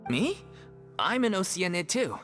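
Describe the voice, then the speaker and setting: Hearty, very animated troupe actor. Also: male voice, high voice, measured pace, exaggerated voice. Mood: jovial. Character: hearty good-natured male stage actor who loves food. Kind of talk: video game voice acting. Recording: music bed behind voice.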